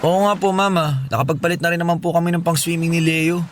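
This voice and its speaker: A performer plays an earnest young boy in a radio drama, polite and protective as an older brother. The words come quickly, with light background noise. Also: medium pitch, fairly even intonation, close to an everyday voice. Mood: pleading.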